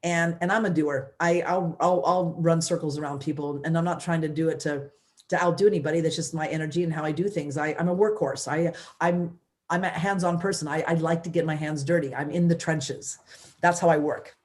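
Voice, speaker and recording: Loud, energetic woman telling her story. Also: sits low, brisk. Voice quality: loud voice, big personality. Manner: energetic, many restarts and "you know". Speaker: guest, former mayor. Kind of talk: casual interview storytelling.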